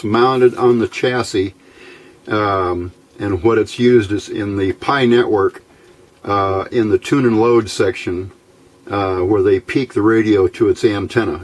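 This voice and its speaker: A man giving an informal, unscripted hands-on electronics demonstration, talking haltingly and thinking aloud as he goes. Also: lowish voice. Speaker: radio hobbyist presenting his build. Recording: clear background noise.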